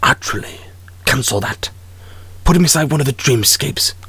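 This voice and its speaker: A man as a husky, menacing alien ruler. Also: medium pitch, lively intonation, normal speed, sharp tone, dry recording, full character voice. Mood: menacing, commanding. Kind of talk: audio drama acting.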